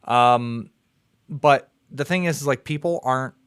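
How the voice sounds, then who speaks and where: A man's voice: medium pitch, measured pace, fairly bright tone, smooth, no echo. Young American male streamer, casual host patter, clean dry voice. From United States. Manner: conversational, filler-heavy, upbeat sign-off. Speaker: livestream host. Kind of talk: casual call-in debate stream.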